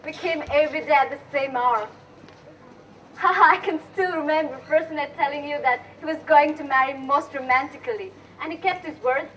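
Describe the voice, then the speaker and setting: High-voiced young woman as a spirited bride-to-be. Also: normal speed, a bit bright. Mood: fond, teasing. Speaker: student actor. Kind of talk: amateur stage play acting. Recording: distant mic, noisy room.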